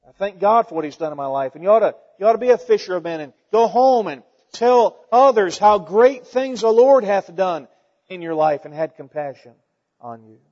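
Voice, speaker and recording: A man's voice: sits high, animated, moderate pace, darkish tone. A man in his forties preaching a fervent sermon to his congregation, full of rhetorical questions and folksy asides. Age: 40s. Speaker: pastor. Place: church sanctuary. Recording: dull sound in a reverberant church hall.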